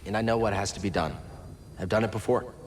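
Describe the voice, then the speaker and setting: A man's voice: lowish voice, fast talker, a little hiss or hum, lightly acted. A man playing a determined, earnest young Jedi hero in a game cutscene, terse and stubborn in his delivery. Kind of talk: video game cutscene acting.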